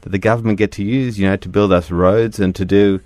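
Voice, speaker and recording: Deep-voiced radio host. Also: male voice, fairly even intonation, fairly quick, a little mellow, no room sound. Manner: chatty, explains patiently with many fillers. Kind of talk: casual radio chat.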